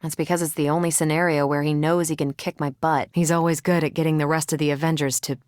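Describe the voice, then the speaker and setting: A woman acts as a confident female superhero soldier from a video game, in a dry, close studio sound. Her voice sits on the low side for a woman. She banters casually, with a wry, competitive self-assurance. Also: level tone, fast, a little mellow, dry recording, close to an everyday voice. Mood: conversational. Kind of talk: video game voice acting, conversational dialogue.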